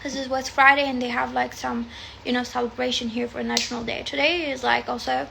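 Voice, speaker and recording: A woman's voice: a little high, little pitch movement, brisk, vocal fry, pushed and bright, slight background noise. A woman whose first language is Arabic, chatting casually as a tarot reader on a phone livestream from a room at home, rambling and swearing freely.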